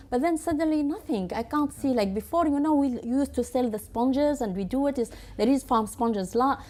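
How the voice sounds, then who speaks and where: Quick, halting woman from Tunisia. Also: fairly high pitch, warm tone. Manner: quick, halting speech with restarts and fillers. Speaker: guest. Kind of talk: interview answers.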